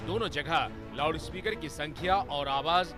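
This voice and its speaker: A man's voice: fairly high pitch, a little slow. A man reading a scripted news voice-over as the narrator of a television report. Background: prominent music bed.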